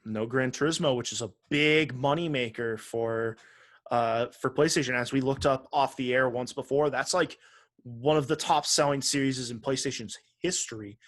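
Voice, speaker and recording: A man's voice: mid-range voice, normal speed, fairly bright tone. A man co-hosting a video game podcast, chatting casually in a blunt, opinionated way. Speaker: podcast host.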